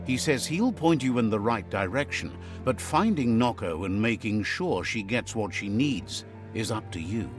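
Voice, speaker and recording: An actor voicing a wry, wise male storyteller, narrating a video game fable in a measured, theatrical way. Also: fairly low pitch, expressive, over music, lightly acted. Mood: wry, storytelling.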